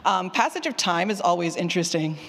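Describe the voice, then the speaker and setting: A woman presents casually to a room in a reverberant hall. She is candid and animated, poking fun at herself and voicing her own thoughts as she goes. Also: lowish voice, a little fast. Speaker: presenter, fiction podcast writer. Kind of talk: casual conference talk with audience questions. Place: indoor session room with long reverb.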